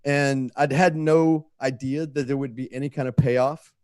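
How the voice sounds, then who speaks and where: A man's voice: medium pitch, fairly even intonation, normal speed, a little mellow, clean tone, dry recording, studio quality. A man talking casually and earnestly to a group, swearing freely and often doubling back on his phrases. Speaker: writer and filmmaker. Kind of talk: casual heartfelt talk to a group.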